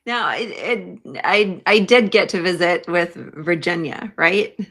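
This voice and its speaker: A woman podcast host speaks at a slow pace with noticeable vocal fry. She thinks aloud and halts often as she works toward her questions in a conversational livestream interview, in a bright, forward tone. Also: lowish voice.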